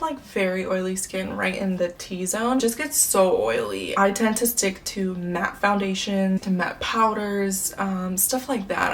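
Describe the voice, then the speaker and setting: Young American woman, early twenties, chatty beauty vlogger with an upbeat manner; mic set a little back, faint hiss. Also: mid-range voice. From United States. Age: 20s. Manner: chatty, upbeat, many fillers. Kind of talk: casual chat to camera. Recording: slightly distant mic, faint room noise.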